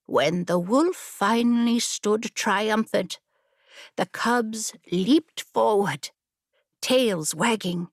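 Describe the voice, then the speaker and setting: Unhurried woman narrating a story. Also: medium pitch, no echo, clean studio sound. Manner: measured, deliberate storytelling. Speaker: audiobook narrator.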